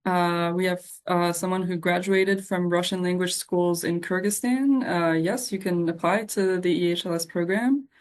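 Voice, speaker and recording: A woman on a program's staff answering audience questions in an informal, halting, reassuring way. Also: fairly low pitch.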